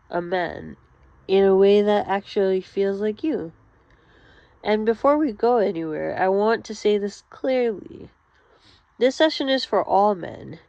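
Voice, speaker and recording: A woman delivering a guided therapy-style monologue, speaking gently and deliberately in short phrases with pauses between them. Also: medium pitch, creaky. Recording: roomy, slightly dull lo-fi sound.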